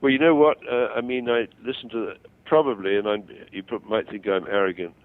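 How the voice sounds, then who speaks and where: An older man explaining his views over the phone, self-assured but halting and digressive. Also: medium pitch, dry recording. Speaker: phone-in guest. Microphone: telephone. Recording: tinny narrow-band phone call.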